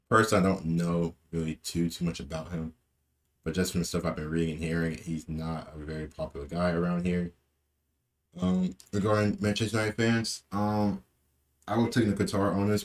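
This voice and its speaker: Young guy, deep low voice, rambly football chat, lots of um and man, small room webcam. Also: normal speed. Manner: rambling, repeats himself, lots of fillers. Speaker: football fan vlogger. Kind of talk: casual post-match reaction.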